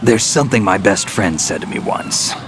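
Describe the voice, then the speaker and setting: An actor playing a world-weary, gruff veteran soldier, terse and earnest, in a video game. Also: male voice, on the low side, wildly swooping pitch, moderate pace. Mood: reflective. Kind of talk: dramatic video game acting. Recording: clear background noise.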